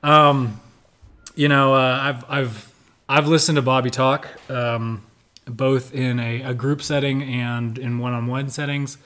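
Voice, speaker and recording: A man's voice: medium pitch, flat delivery, measured pace, a bit bright. A man hosting a casual interview podcast, joking easily and using many fillers. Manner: easygoing, joking, filler-heavy. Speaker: podcast host.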